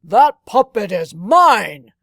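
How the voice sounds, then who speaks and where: A woman's voice: mid-range voice, big pitch swings, slow pace, fairly bright tone, no room sound, studio quality, voice pushed up, exaggerated voice. A woman voicing a large, greedy male puppet-show owner from a storybook, possessive, bullying and commanding. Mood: outraged.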